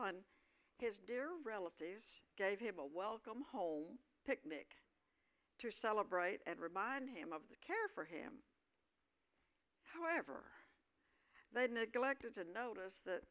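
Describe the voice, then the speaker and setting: A woman's voice: mid-range voice, a bit bright. A woman reading scripted narration for a staged play.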